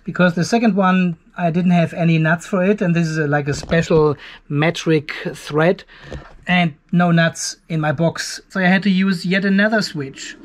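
An older German-accented man talks through practical work in a garage. His voice sits a little higher than most men's. He is informal and self-deprecating, thinking aloud as he goes. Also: steady tone, moderate pace. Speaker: DIY video host. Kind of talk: casual hands-on workshop narration. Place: cluttered garage workshop.

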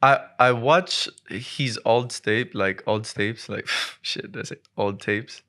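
A man's voice: lowish voice, slow, a bit bright. A man in his twenties from Hong Kong, chatting casually and slangily as a podcast guest on a close studio microphone. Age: 20s. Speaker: basketball player, podcast guest. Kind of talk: casual chat. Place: small dim podcast studio indoors. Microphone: close studio mic on boom arm.

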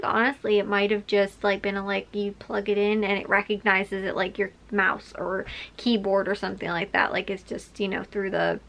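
A woman's voice: mid-range voice, creaky, fairly bright tone. Young woman vlogger, rambling casually. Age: late 20s to early 30s. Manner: rambling, frequent filler "like", chuckles while talking. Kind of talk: casual rambling chat to camera. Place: small bedroom or craft room, indoors.

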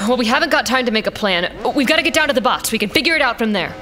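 Woman playing a brisk, high-voiced pilot. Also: animated, lightly acted. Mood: anxious, urgent. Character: brisk, practical, protective female robot pilot. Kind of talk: science-fiction audio drama acting. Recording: music behind dialogue.

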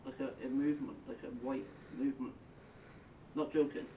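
A man on a ghost hunt, jokey and chatty as he asks questions out loud to whatever might be in the room. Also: medium pitch, level tone, brisk, far from the mic. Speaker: paranormal investigator. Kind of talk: casual chat, calling out to spirits. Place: stone room in an old mine building at night. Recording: echoey room, off-mic, noisy hiss.

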